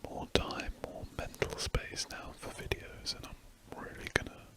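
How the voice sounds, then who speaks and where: A man whispering throughout as he chats casually while drawing, an ASMR host who rambles and corrects himself mid-sentence. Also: measured pace. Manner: soft unvoiced whisper, rambling and self-correcting.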